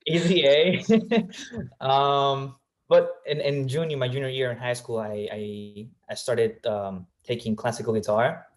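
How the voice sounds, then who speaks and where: A man's voice: medium pitch, steady tone, measured pace. A young man from Colombia answering interview questions in a thoughtful way, with many fillers and restarts. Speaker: guest, real estate agent.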